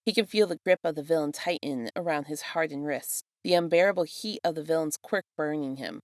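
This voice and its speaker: Low-voiced woman reading fan fiction, close and dry. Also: a little fast, a little mellow, dry recording. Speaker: podfic reader. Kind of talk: scripted fan fiction narration.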